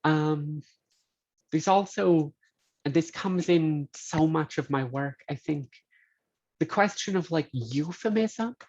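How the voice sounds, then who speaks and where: A poet speaking reflectively on a video-call panel in a measured, articulate, thoughtful manner. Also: medium pitch, darkish tone. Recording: slightly dull video call audio.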